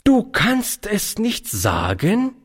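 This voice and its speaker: A man's voice: fairly high pitch, very animated, measured pace, pitched above the performer's own voice. A man voicing a stern, prim, indignant old spinster aunt in an audiobook, with a proper, scolding, self-important manner. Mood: stern, reproachful. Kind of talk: audiobook character voice.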